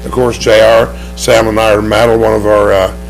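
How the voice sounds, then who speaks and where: A man with a hoarse voice and an Oklahoma accent, a race track promoter talking in a TV studio interview, upbeat and rambling with many restarts. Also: fairly low pitch, little pitch movement, normal speed, slight background noise, poor audio.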